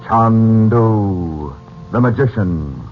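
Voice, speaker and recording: A man's voice: on the low side, lively intonation, very slow. A man with an American accent giving formal, dramatic scripted narration as a 1940s radio announcer. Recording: muffled 1940s radio recording with music.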